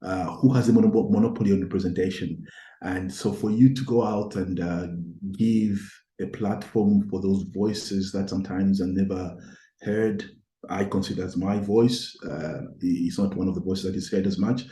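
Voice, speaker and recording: A middle-aged man with a mellow, lowish voice talks things through as a podcast guest. He pauses, circles back and repeats words as he gathers each thought, and his is Tonga. The sound is roomy, with noticeable echo around him. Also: steady tone. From Zambia. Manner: thoughtful, halting, with many repeated words and restarts. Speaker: guest, academic. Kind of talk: reflective podcast conversation.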